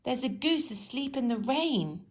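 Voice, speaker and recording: A woman's voice: sits high, animated, a little slow, pitched above the performer's own voice. A woman voicing a good-natured, forgetful little storybook man with a hesitant, dithering way of talking. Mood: earnest. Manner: hesitant, dithering, stumbling over thoughts.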